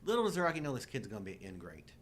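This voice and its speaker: A man's voice: wildly swooping pitch, racing. A middle-aged man co-hosting a live show, chatting casually about movies with wry, jokey exaggeration. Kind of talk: casual humorous movie-discussion chat. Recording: noticeable low rumbling background noise.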